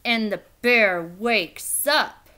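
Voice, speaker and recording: A woman reading a children's picture book aloud in an expressive, theatrical, emphatic storytelling style. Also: medium pitch, very slow.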